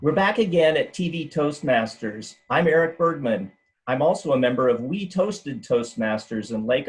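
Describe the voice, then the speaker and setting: A man hosting a TV interview show, giving steady, scripted links between segments. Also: medium pitch, poor audio. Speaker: TV show host.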